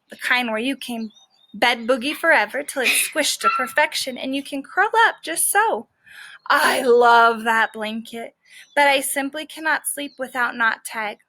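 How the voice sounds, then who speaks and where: Woman, teacher, children's read-aloud, high bright voice, dry sound, expressive emphasis. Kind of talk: children's read-aloud with asides to young listeners.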